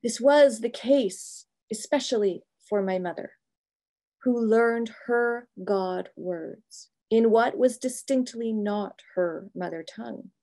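A middle-aged woman pastor giving a reflective, prepared talk from a home office over a video call, measured and thoughtful, pausing between phrases. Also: a little high, mellow. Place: home office with bookshelves, indoors.

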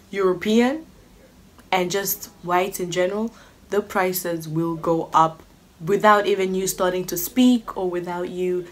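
Lowish-voiced young woman vlogging, noisy room. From Kenya. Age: 20s. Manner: animated, acts out other people's remarks. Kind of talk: casual storytelling to camera. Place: small furnished living room or bedroom, indoors. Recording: clearly noisy home room recording.

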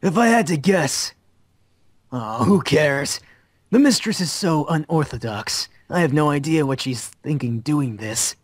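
A man's voice: fairly high pitch, lively intonation, unhurried, no room sound, clean studio sound. A man voicing an impatient, bossy mage comrade in an amateur anime visual novel dub, curt and dismissive. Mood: musing.

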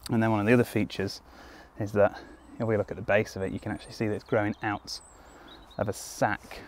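Low-voiced young man teaching outdoors. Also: little pitch movement, fast talker. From United Kingdom. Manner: explanatory, easygoing, with frequent ums and restarts. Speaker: foraging guide. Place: woodland clearing outdoors. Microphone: camera on a tripod at a few metres, crouching in frame.

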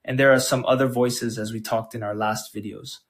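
A man hosting a tech channel, narrating a scripted software tutorial. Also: mid-range voice, fairly even intonation, brisk. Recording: music behind.

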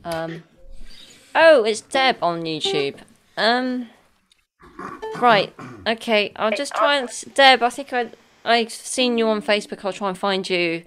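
A woman's voice: fairly high pitch, animated, a little slow, a bit bright. A woman hosting an online music session on a video call, friendly and a little scattered, with laughing asides. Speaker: session host. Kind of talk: casual online session chat. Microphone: computer mic on a video call.

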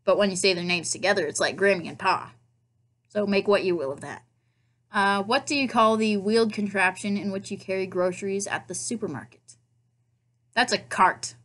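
A woman's voice: medium pitch, normal speed, a bit bright. Young nebraska girl rambling into her laptop cam, joking at herself, bedroom sound. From Nebraska, USA. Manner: chatty, self-mocking, playful asides. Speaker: vlogger. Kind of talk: casual accent tag video. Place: bedroom at home, indoors. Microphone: laptop webcam.

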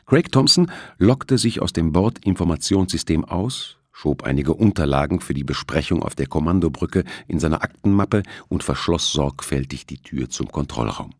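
A man narrates a novel in a low, slightly rough voice. His intonation moves around a lot as he tells the scripted story. The recording is dry and close. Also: lively intonation, normal speed, few pauses, a little mellow, no room sound. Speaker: audiobook narrator.

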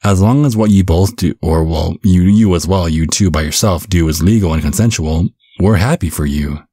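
A man playing a caring, bumbling vampire father in an ASMR roleplay, speaking gently with a stammering, reassuring manner. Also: low-pitched, normal speed, breathy edge, dry recording, studio quality. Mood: earnest, reassuring. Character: caring, bumbling vampire father, looks twenty-five, over a century old.

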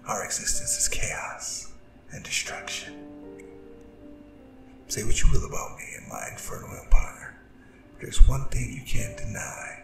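A man playing a proud, vengeful and seductive demon king in an ASMR audio drama, speaking in a breathy, gravelly half-whisper with slow, grandiose delivery. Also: fairly low pitch, wildly swooping pitch, exaggerated voice. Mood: proud, persuasive. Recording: roomy reverb, distant, music behind. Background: music.

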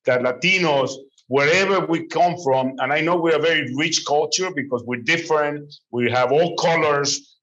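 A man's voice: a little high, a bit bright, lo-fi sound. A middle-aged man speaking emphatically on a video-call panel, repeating his words as he builds each point. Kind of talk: casual panel discussion. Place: large office, indoors. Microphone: lapel.

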